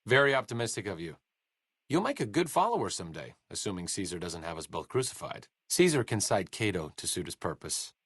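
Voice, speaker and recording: Casting: a wry, educated young game scientist, low voice, lively melody, dry studio sound. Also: male voice, brisk, slightly rough, no room sound, heavily acted. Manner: dry sardonic wit, educated phrasing. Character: wry, learned male scientist companion, dry wit. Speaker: game companion voice lines. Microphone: close studio mic.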